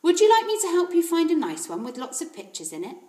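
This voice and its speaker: Storybook librarian woman, acted by a female reader: kindly, polite, questioning, raised swooping pitch, echoey room. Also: huge pitch swings, fast, dark tone, full character voice. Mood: kindly, curious. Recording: roomy echo.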